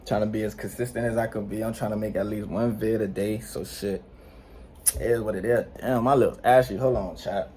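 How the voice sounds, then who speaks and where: A man's voice: on the low side, steady tone, a little fast, darkish tone, a little hiss or hum. Laid-back teen guy vlogging. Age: late teens. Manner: slangy, rambling, laid-back, frequent "you know what I'm saying". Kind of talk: unscripted casual vlog talk. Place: indoors at home.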